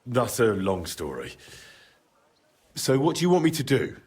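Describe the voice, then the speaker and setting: Dutiful young medieval retainer in a game, husky and direct, expressive pitch. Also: male voice, measured pace, full character voice. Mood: puzzled, dry. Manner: plain, direct, courteous. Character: plain-spoken young medieval man-at-arms, dutiful and dry. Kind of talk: video game cutscene acting.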